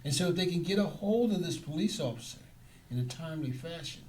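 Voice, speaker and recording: A man's voice: fairly high pitch, lively intonation, fast talker, a little mellow. A man who trains security guards, speaking in a casual interview and repeating his points for emphasis.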